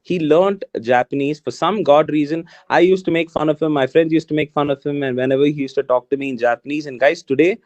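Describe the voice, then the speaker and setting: A man with an Indian English accent, whose first language is Tamil, gives a casual, encouraging talk to students and calls them man and guys. His voice sits a bit high. The sound is dry and a little muffled. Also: fast, hardly any pauses, a little mellow, no room sound. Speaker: alumni guest speaker.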